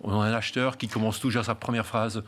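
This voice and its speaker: A middle-aged man with a Flemish accent and a low voice, answering interview questions in halting bursts with plenty of fillers. A faint hum of room noise sits behind him. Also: flat delivery, a bit bright. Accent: Belgian Flemish. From Belgium (Flanders). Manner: hesitant, many fillers and restarts. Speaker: real estate company founder.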